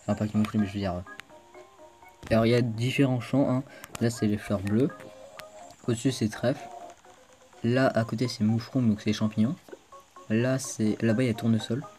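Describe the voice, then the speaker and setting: A man's voice: medium pitch, fairly even intonation, normal speed, long pauses, warm tone, faint music behind. A man hosting a casual let's play video, chatting to viewers as he plays, with a stuffed-up cold. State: congested with a cold. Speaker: gaming video host.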